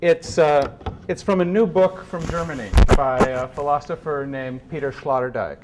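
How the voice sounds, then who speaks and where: A man speaking to a gathered room in a solemn, deliberate way, with measured phrasing, as if presenting a serious reading. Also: on the high side, slight background noise. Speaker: speaker at a meeting. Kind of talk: introducing and reading philosophical prose aloud to a gathering. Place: meeting room with an audience.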